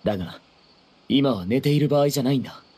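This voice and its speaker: A man voicing an expressive, earnest, slightly fussy young man in an anime game. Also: medium pitch, fast, slight background noise, natural voice. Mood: apologetic, urgent.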